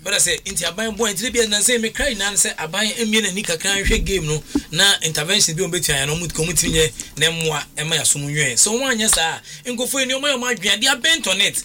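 A man's voice: sits high, a little hiss or hum. A man arguing about football as a pundit, mixing languages and hesitating with repeated fillers. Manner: animated, mixes languages, stammers eh. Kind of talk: heated sports discussion.

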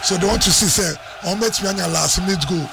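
A man's voice: high-pitched, a little fast, vocal fry, crowd noise. A man with a Ghanaian accent giving football analysis on radio, explaining tactics while mixing Twi and English. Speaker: football pundit.

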